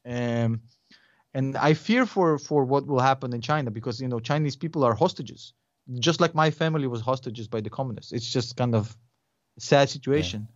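A man's voice: medium pitch, normal speed. A man in his forties with a thick Russian accent chats as a podcast guest. He rambles, restarts his sentences and laughs a lot. His voice sounds dry and a little dull, with no room echo. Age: 40s. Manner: animated, rambling, laughs often. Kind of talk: casual interview chat.

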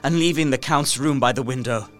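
Gothic audio drama: male actor as desperate young Victorian gentleman, mid-pitched, deliberate and emotive journal narration, music underneath. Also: natural voice. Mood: hushed dread. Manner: intense, deliberate, emotive delivery with formal diction.

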